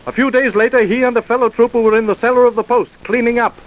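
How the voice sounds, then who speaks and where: High-pitched old-time radio narrator. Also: male voice, steady tone, fast, rough recording. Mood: storytelling. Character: earnest radio serial narrator.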